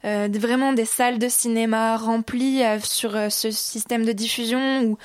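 Female radio host, fairly high voice, informal halting questions, very dry sound. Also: fairly even intonation, dry recording. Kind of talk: conversational live radio interview.

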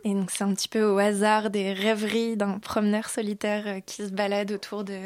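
A woman's voice: medium pitch, flat delivery, a little fast. A woman interviewing a guest in a conversational style.

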